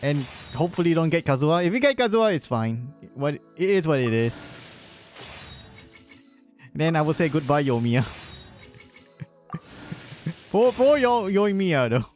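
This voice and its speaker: A man's voice: a little high, very animated, a little slow, pauses often, darkish tone, faint music behind. A man doing casual livestream commentary over a game, rambling and repeating himself, often chuckling between phrases. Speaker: live streamer.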